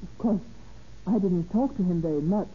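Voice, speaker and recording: Cool evasive suspect, muffled old radio. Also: female voice, lowish voice, normal speed, breathy edge. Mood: guarded, polite. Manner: formal, guarded, composed. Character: cool, evasive murder suspect, polite smooth-talking woman. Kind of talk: 1940s radio crime drama acting.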